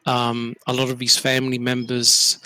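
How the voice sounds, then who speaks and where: Brief: Australian male host, bright, close dry sound, leads with questions. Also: medium pitch, monotone, normal speed, clear, resonant tone, dry recording, rough recording. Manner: leads with questions, many fillers. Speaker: podcast host. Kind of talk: casual group discussion.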